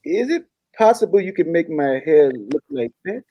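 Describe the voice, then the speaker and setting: A husky-voiced, smitten young man, played by an actor in a comedy read, with a pitch that jumps around eagerly. He is earnest and awkward, fumbling and stammering through his sentences. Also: very animated, normal speed, dull audio. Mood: polite.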